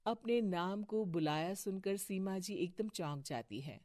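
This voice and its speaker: A woman narrating a cartoon moral story in a steady storytelling voice. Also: medium pitch, unhurried, no echo, clean studio sound.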